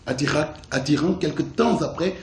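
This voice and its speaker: A man telling a tale on stage in a solemn, measured way. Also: a little high. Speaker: storyteller. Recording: echoey room with faint noise.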